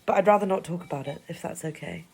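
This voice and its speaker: A woman's voice: on the low side, fast talker, creaky, a little mellow, slightly rough. An actress voicing a guarded local woman who is reluctant to talk. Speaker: interviewed local.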